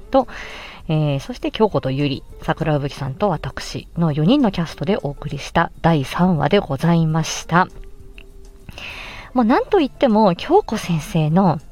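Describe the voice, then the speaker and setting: A woman hosting a casual solo podcast, chatting in an animated way with emphatic exclamations as she looks back on a project. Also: deep voice. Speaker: podcast host and amateur voice actress. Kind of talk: casual solo chat looking back on an audio drama.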